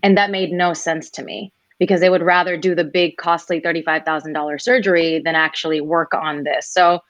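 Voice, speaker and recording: A woman in her twenties, a singer guest telling her story in a relaxed interview, animated and acting out other people's lines as she goes. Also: low-pitched, a little fast, no room sound, highs cut off. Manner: animated, quoting others in lively voices.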